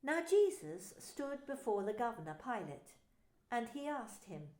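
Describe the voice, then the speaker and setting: A woman's voice: on the high side, big pitch swings, measured pace, a little mellow. A woman minister narrating a dramatised scripture story, telling it expressively. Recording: roomy echo.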